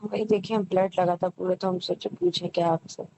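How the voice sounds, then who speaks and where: A woman in a casual private phone call, asking soft, everyday questions. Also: lowish voice, steady tone, brisk, slight background noise. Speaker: caller.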